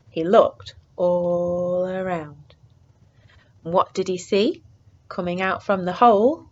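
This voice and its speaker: A woman reading a picture book aloud to young children in an expressive way, moving between hushed suspense and excited exclamation. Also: on the low side, lo-fi sound.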